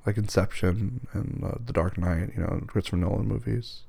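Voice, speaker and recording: A hoarse man, losing his voice, answering listener questions in a hesitant, casual way. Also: deep voice, moderate pace, dark tone, dry recording. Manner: hesitant, many ums and restarts.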